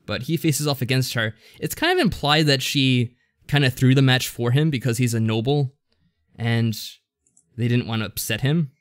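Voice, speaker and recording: A man's voice: mid-range voice, moderate pace. Man talking casually, hesitant and rambling. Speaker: podcast co-host. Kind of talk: casual discussion recapping a visual novel.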